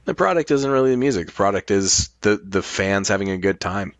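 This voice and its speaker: A man's voice: on the low side, expressive, fairly quick, dry recording. A middle-aged man talking casually as a podcast guest, explaining his points with emphasis.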